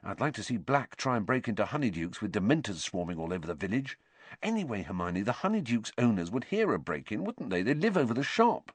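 A man voicing a cheeky, excitable teenage boy wizard with a raised, boyish voice. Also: mid-range voice, normal speed, few pauses, dry recording, pitched above the performer's own voice. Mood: reassuring.

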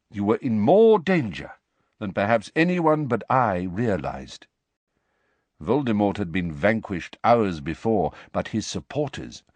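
A man voicing a calm, weary, wise old wizard headmaster in an audiobook, speaking quietly and with measured gravity. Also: lowish voice, breathy edge, no room sound, heavily acted. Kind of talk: dramatic audiobook character reading.

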